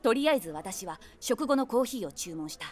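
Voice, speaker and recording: A woman's voice: on the high side, lively intonation, a little fast, a little mellow, close to an everyday voice. An actress playing a nosy, imaginative middle-aged woman who narrates a comic audio drama with wry, theatrical asides. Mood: amused commentary. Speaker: narrator and main character.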